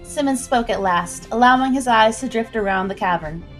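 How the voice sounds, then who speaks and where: A woman with a fairly high voice narrates a story dramatically. She reads expressively, building suspense as a storyteller would. Light music plays underneath. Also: normal speed, poor audio. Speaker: storyteller, livestream host. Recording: music behind the voice.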